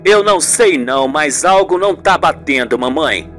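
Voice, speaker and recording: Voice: mid-range voice, expressive, moderate pace, a bit bright, faint music behind, close to an everyday voice. A performer voicing an upset, doubtful grown man, a son in a radio soap, plaintive and questioning. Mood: confused. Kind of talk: radio soap opera acting.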